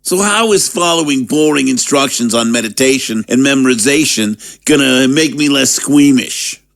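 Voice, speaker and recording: Flustered, gravelly young-apprentice type played by a man. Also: medium pitch, normal speed, a bit bright. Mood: defensive. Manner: hesitant, defensive. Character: anxious, confused male initiate, easily flustered underling. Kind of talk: audio drama acting.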